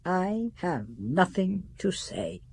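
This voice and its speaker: An actress playing a devout, guarded housekeeper in a radio drama, speaking formally and haltingly. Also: female voice, on the low side, very animated, gravelly, darkish tone, slightly rough, no echo, full character voice. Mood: guarded, stubborn. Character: devout, guarded spinster housekeeper to a French count.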